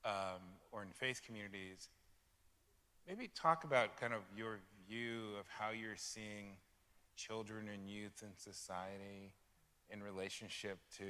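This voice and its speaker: A man with a lowish, bright and forceful voice hosts an interview, feeling his way into a question with hesitant, winding phrasing. His voice hangs in a long hall echo. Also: smooth. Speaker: forum host and interviewer. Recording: echoey hall.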